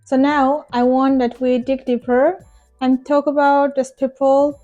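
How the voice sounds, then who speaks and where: Woman, young adult, non-native English speaker, ESL teacher, high pitch, warm tone, talks straight to camera. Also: little pitch movement, unhurried, highs cut off, soft background music. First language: not English. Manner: earnest, encouraging, direct address to viewers. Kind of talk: talking-head teaching vlog.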